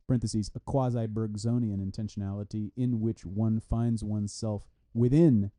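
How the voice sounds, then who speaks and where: A man's voice: lowish voice, steady tone, normal speed, mellow. A man reading an academic philosophy review aloud in a formal, scripted style. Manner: reads punctuation and citations aloud. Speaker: reader of a translated philosophy article.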